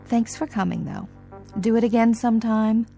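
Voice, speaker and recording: A woman's voice: a little high, normal speed, gravelly, mellow, faint music behind. An actress playing a stubborn, sarcastic, worn-out showbiz woman in a radio drama, with a wry, brassy delivery.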